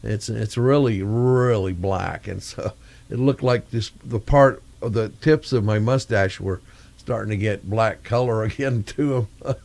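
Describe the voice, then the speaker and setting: A man's voice: on the low side, a little slow, gravelly, darkish tone. An older man talking casually in a meandering video diary, often searching for words. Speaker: vlogger. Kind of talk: casual rambling vlog talk.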